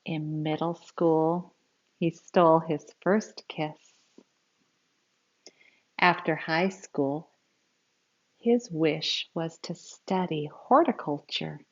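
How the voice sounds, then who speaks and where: A woman reading a picture book aloud to young children in an expressive storytelling style, with simple explanatory asides. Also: low voice, extremely slow, pauses often, muffled recording.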